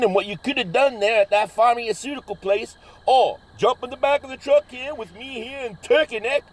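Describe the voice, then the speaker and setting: A man's voice: very high voice, rough recording. A man acting a cocky, folksy backwoods monster hunter in a comedy horror audio drama, rambling in a drawl with mangled grammar. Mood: brash urging.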